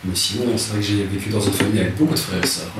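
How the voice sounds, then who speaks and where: Man in his thirties, very deep voice, halting and soft-spoken, distant mic. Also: steady tone. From Rwanda. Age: 30s. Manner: hesitant, many restarts and fillers, soft-spoken. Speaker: interviewee. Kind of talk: casual life-story interview. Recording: echoey room, distant mic, noisy.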